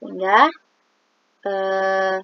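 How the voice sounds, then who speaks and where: A woman's voice: medium pitch, flat delivery, extremely slow, clear, resonant tone, no echo. Woman, hesitant math explanation, lots of fillers, dry dull lo-fi sound. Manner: hesitant, many fillers, reads formulas aloud step by step. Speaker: student presenting a statistics exercise.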